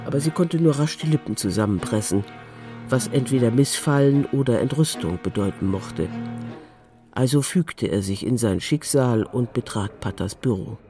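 A man narrating a radio crime drama in a calm, composed literary style. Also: medium pitch, normal speed, over music.

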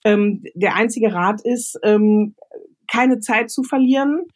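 A woman's voice: a little high, takes it slowly. A woman giving spontaneous, encouraging advice as a guest writer, often searching for her words. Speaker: guest radio drama author giving advice. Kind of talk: spontaneous advice in casual conversation.

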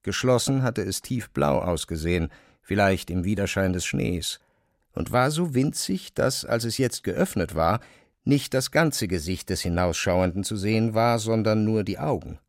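Low-pitched male narrator, dry sound. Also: expressive, moderate pace, no room sound. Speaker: narrator of a literary audio drama. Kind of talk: scripted literary narration.

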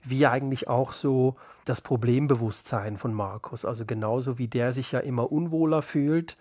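A man's voice: medium pitch, moderate pace, a little mellow, dry recording, studio quality. A man speaking as an author in an interview, explaining his work in a thoughtful, reflective way.

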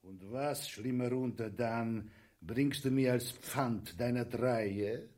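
An actor playing a coarse, imperious Russian emperor in a grotesque radio comedy, pompous, stern and contemptuous. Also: male voice, medium pitch, takes it slowly, a little mellow, close to an everyday voice.

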